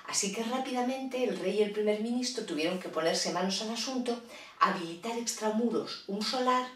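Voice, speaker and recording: A woman telling a fairy tale to children, in a lively, expressive way with chatty asides. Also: mid-range voice, recorded from a distance. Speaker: storyteller. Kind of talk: children's storytelling. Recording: roomy echo, voice off-mic.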